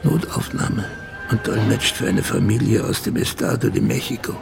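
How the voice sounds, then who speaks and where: An actor playing a wry, knowing narrator with a gravelly voice and sly asides in a radio play. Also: male voice, fairly low pitch, expressive, moderate pace.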